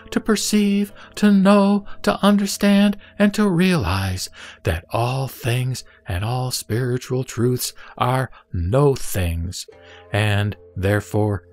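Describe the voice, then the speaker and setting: A man playing the Buddha as a serene teacher, reciting scripture in a measured, solemn audio drama style. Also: medium pitch, darkish tone, natural voice. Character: the Buddha, serene male teacher addressing his disciple. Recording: music behind the voice.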